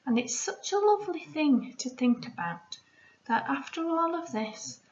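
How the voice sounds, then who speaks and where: A woman, a primary school teacher, reading a storybook aloud to children in an expressive way, with hushed asides to her listeners. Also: sits high, a little slow. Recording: roomy echo, slightly dull sound.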